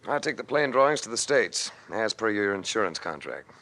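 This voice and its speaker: A cool, wry radio adventurer. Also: male voice, on the low side, big pitch swings, brisk, sharp tone, exaggerated voice. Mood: easygoing. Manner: dry, unflappable, clipped. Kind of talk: old-time radio drama acting with narration.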